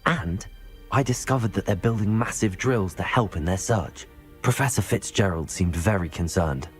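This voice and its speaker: A man acts as a young student wizard in a fantasy game. He sounds earnest, polite and resolute, in a lowish voice with soft music behind him. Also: normal speed, close to an everyday voice. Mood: earnest, inquisitive. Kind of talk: video game cutscene acting.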